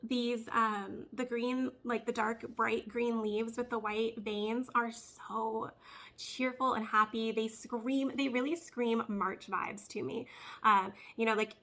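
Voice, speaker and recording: A woman with a high, very bright voice, a bit nasal and congested from a cold. She talks like a casual vlogger, informal and bubbly, chatting to her viewers. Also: steady tone, slow. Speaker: plant vlog host.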